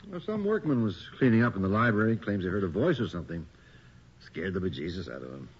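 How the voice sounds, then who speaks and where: An actor playing a middle-aged, world-weary, skeptical police detective in a radio horror drama, with a dry, sardonic delivery. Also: male voice, sits low, very animated, fast talker, slightly rough, heavily acted. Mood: impatient, dismissive. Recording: dull, noisy old radio recording.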